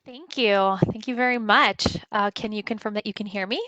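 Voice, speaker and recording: Woman from Costa Rica, smooth and dry. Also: mid-range voice, moderate pace, bright, forceful tone, no echo, dull audio. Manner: friendly, with frequent fillers. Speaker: presenter. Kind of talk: live webinar presentation.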